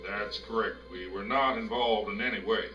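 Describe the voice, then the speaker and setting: An actor playing a guarded, statesmanlike American president in a video game cutscene, speaking in a measured way. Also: male voice, fairly low pitch, recorded from a distance, natural voice. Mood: firm, guarded. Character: American president, guarded, firmly denying blame. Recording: dull, echoey game audio under music.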